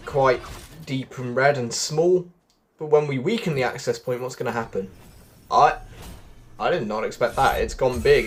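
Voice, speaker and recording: A man hosting a casual gameplay walkthrough, thinking aloud and talking straight to his viewers. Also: medium pitch. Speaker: gaming video host.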